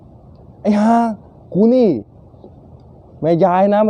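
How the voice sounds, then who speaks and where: A man's voice: very slow, warm tone, no echo. A man voicing a crude, blunt, insistent older village mother-in-law in a comic story. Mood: indignant. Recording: muffled, dull lo-fi recording.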